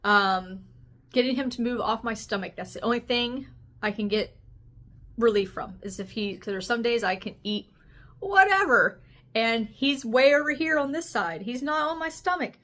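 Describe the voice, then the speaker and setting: A woman in her forties with a slightly raspy voice, chatting casually and candidly as a vlogger giving a personal update. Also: fairly high pitch, expressive, normal speed, fairly bright tone. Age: 40s. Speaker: health vlogger.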